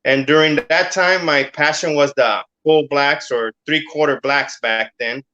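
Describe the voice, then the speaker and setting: Middle-aged man, interview guest, hesitant, lots of fillers, bright tense voice, dull lo-fi sound. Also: fairly high pitch, measured pace. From Cuba. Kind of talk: casual interview.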